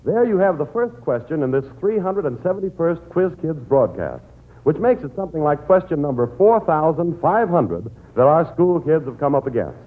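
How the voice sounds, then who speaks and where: A man's voice: fairly high pitch, big pitch swings, moderate pace, warm tone, low-quality recording. A man announcing a 1940s radio show, with showy, playful introductions.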